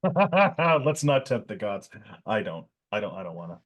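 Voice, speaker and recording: A man's voice: fairly low pitch, sing-song, unhurried, muffled recording. A man hosting a podcast, chatting casually with his co-hosts in an easygoing way. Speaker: podcast host.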